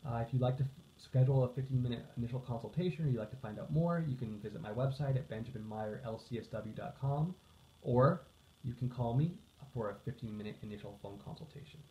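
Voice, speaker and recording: A man's voice: mid-range voice, fast talker. A man giving an earnest, explanatory informational talk as a counselor and coach, stressing key phrases as he goes. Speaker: psychotherapist, social worker and coach. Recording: echoey room, slightly dull sound.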